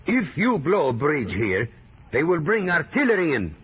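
An actor playing a wary, surly guerrilla leader worn down by being hunted, in vintage radio drama style. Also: male voice, a little high, huge pitch swings, moderate pace, fairly bright tone, slightly rough, exaggerated voice. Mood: angry, weary. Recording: muffled old radio drama recording.

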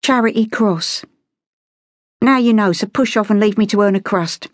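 Narrator voicing a high-pitched, defiant beggar girl. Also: a little fast, dry recording, clean studio sound, natural voice. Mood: reluctant. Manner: streetwise, uneducated speech, guarded and cheeky. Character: poor, wary, defiant sixteen-year-old London beggar girl.

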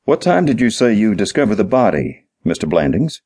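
A man voicing a weary, sarcastic police detective who questions deliberately and impatiently in a crime audiobook. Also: on the low side, sing-song, darkish tone, slightly rough, pitched above the performer's own voice, exaggerated voice. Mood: patient, probing.

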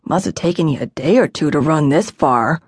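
A woman's voice: sits low, lively intonation, moderate pace, slightly rough, no echo, studio quality. A woman voicing a teasing, cocky young boy. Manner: teasing, casual.

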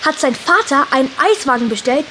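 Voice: high voice, lively intonation, a little fast, sharp tone, slight background noise, poor audio. A performer playing an indignant, gossipy schoolchild in a children's audio drama, emphatic and scornful.

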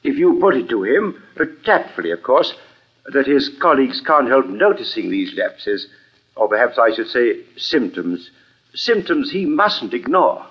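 An actor playing a concerned, tactful surgeon in an old radio drama, speaking formally and carefully. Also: male voice, medium pitch, very animated, a little slow, breathy edge. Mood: grave, tactful. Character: concerned hospital surgeon, discreet and tactful colleague. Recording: dull vintage radio recording.

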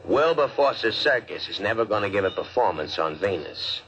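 An actor in a 1950s radio serial playing a blunt, scheming circus cat handler who bargains coolly. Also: male voice, lowish voice, lively intonation, normal speed, a bit bright, dull audio, a little hiss or hum. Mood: cool, menacing. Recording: old radio recording with crowd murmur.

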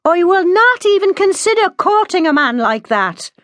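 Outspoken young woman voiced by a female narrator, raised pitch, defiant, blunt, firm, audiobook character. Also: sing-song, normal speed, dry recording, clean studio sound. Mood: defiant, indignant.

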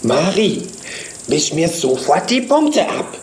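A man's voice: on the high side, sing-song, slow, voice pushed up, full character voice. A man voicing a cross little boy ladybug in a children's audiobook, complaining indignantly and emphatically. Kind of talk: children's audiobook character acting.

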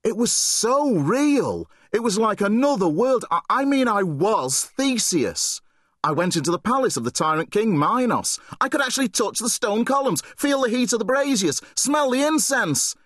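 A man's voice: on the high side, sing-song, moderate pace, few pauses, dry recording, pitched above the performer's own voice. A man voicing a frightened teenage boy who pants and babbles in panic. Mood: breathless awe. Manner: panicked, breathless. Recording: dry close recording.

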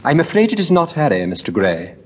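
A man's voice: huge pitch swings, slight background noise. A man voicing a chatty, scatterbrained society lady in a storybook reading, with flighty chatter. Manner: rapid, flighty, high-pitched chatter.

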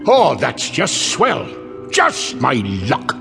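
A man's voice: sits high, huge pitch swings, takes it slowly, creaky, over music, full character voice. An actor playing a grumbling, indignant, sarcastic working-man janitor in a comic radio drama, muttering his complaints. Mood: muttering, dismayed.

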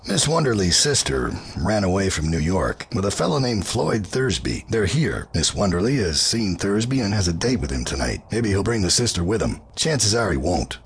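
An actor playing a cool, reassuring private detective in an audio drama, with a laconic, matter-of-fact delivery. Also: male voice, fairly low pitch, big pitch swings, normal speed, few pauses, slight background noise. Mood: businesslike.

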